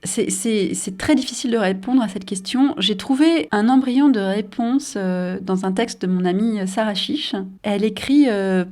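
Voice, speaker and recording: French novelist woman, forties, reflective, pausing often, mid-pitched voice. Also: dark tone. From Paris suburbs, France. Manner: thoughtful, hesitant with many fillers. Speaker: novelist and journalist. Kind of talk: interview.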